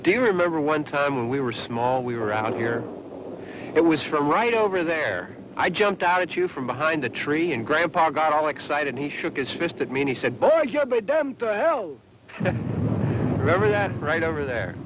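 A man's voice: a little high, animated, normal speed, lightly acted. A man acting a mischievous, mocking young brother in an old horror film, with a playful, theatrical way of taunting. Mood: playful, dismissive. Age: young adult. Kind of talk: 1960s low-budget horror film acting. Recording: muffled, noisy old film soundtrack.

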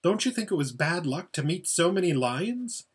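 A man's voice: high voice, big pitch swings, moderate pace, slightly rough, voice pushed up, exaggerated voice. A man voicing a frightened orphan boy in a storybook, anxious and pleading in his questions. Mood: indignant, questioning.

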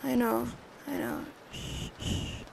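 A woman playing a gentle, protective big sister comforting a child to sleep in a soothing, hushed ASMR roleplay, close to the microphone. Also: a little high, wildly swooping pitch, slow pace, vocal fry, slight background noise, exaggerated voice, low-quality recording. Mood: soothing, tender.

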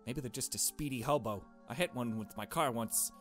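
A man's voice: mid-range voice, brisk, fairly bright tone, soft background music. A man giving casual, playful commentary on a game, with joking asides and bits of dialogue read aloud. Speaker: let's player.

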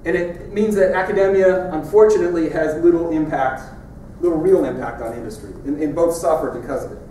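A man's voice: on the high side, a little fast, warm tone, slight background noise. A middle-aged man giving a conference keynote in a large lecture hall, in a conversational, wry way as he points at his slides. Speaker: keynote speaker. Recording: echoey hall from far off.

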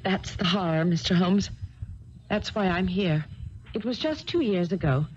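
A woman acting in a 1970s radio drama, playing a distressed, earnest young English heiress with formal, halting phrasing. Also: on the low side, lively intonation, a little mellow. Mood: grieving, troubled. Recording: dull noisy old radio recording.

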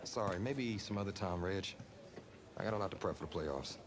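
An actor playing an easygoing, earnest young high school quarterback in a video game cutscene. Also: male voice, a little fast, crowd noise, close to an everyday voice. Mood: casual, modest. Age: young adult. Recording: music behind the voice.

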